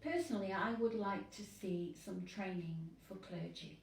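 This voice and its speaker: A woman priest who lives with hearing loss, speaking earnestly and in measured phrases in an interview. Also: medium pitch, gravelly, a little mellow, reverberant, distant mic, slight background noise, low-quality recording.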